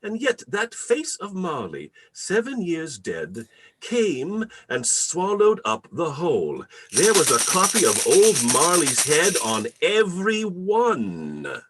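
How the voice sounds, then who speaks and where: A man's voice: on the high side, huge pitch swings, takes it slowly, background noise. A man narrating a classic story dramatically, with theatrical, emphatic and varied phrasing.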